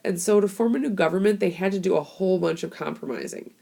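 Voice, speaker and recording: A young woman teacher sounds hoarse from a cold, and her voice has a gravelly, crackly edge that sits a little low. She explains in a casual, chatty way, with plenty of fillers, and she records into a tablet microphone. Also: fast talker, mellow. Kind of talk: classroom screencast lesson.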